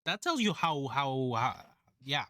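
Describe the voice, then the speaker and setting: A man hosting a reaction video, with a slightly raspy voice and a rambling, excitable, slangy way of talking. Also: mid-range voice, unhurried. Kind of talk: casual reaction commentary.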